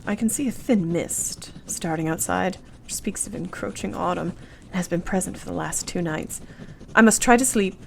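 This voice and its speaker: A lowish-voiced, earnest Victorian wife. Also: female voice, moderate pace, gravelly, a little hiss or hum, rough recording. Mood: anxious, regretful. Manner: formal, precise period phrasing. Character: earnest, anxious young Victorian wife, devoted and thoughtful. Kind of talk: radio play acting.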